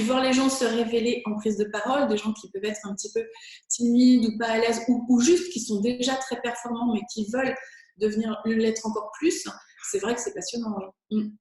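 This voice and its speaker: French woman, guest speaking coach, slightly high, eager, very echoey room. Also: darkish tone. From France. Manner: enthusiastic, fluent with occasional fillers. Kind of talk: interview answers.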